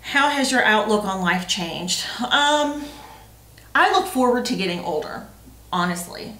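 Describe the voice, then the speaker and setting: A roomy echo surrounds this woman in her forties as she co-hosts a casual vlog. Her pitch is average for a woman. She speaks frankly, with emphasis. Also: animated, unhurried, a bit bright. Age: 40s. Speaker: vlog co-host reading questions. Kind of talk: casual chat. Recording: roomy, echoey sound.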